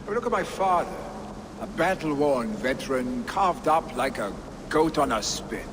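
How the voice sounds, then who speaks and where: Brash young Viking fighter for a game, male actor, boastful, slightly high voice, swooping delivery. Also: lively intonation, normal speed, lightly acted. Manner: boastful, defiant, informal. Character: brash, carefree young Viking warrior, son of an ailing chief. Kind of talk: video game cutscene acting. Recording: noisy game ambience behind dialogue.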